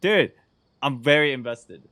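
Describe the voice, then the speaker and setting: A man's voice: medium pitch, lively intonation, a little slow, fairly bright tone, no echo. A man giving casual, laid-back playthrough commentary as a gaming streamer, speaking close to the microphone. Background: faint game music.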